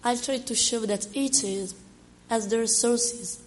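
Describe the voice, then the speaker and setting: A hoarse woman with an Italian accent who has a cold, giving an academic reply with many fillers and pauses. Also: fairly high pitch, a little hiss or hum, poor audio. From Italy. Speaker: respondent at an academic talk. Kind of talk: academic response, read with hesitations.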